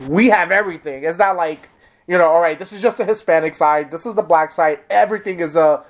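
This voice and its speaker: A woman's voice: fairly low pitch, brisk. A woman hosting a casual interview, talking candidly and bluntly and swearing freely. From big city. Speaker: host. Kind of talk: casual conversation.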